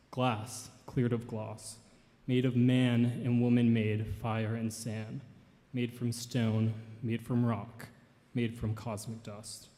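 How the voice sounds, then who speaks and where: A man in his twenties, a grandson giving a memorial tribute and reading a poem in an earnest, measured way. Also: medium pitch, warm tone. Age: 20s. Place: large church hall. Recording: long hall reverb.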